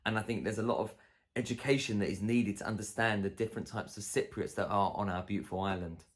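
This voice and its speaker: Man from Cyprus, fast, low-ish, direct. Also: steady tone. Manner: earnest, direct. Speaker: interviewee.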